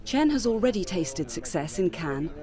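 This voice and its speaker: A woman's voice: on the low side, big pitch swings, moderate pace, warm tone. A woman reading scripted narration for a news feature.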